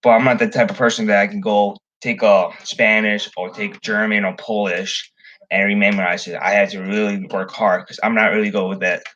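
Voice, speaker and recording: A man's voice: fairly low pitch, little pitch movement, fairly quick, fairly bright tone, muffled recording. A young man from the Chicago area chatting casually on his fishing livestream, rambling with many ums and restarts. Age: young adult. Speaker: fishing channel host.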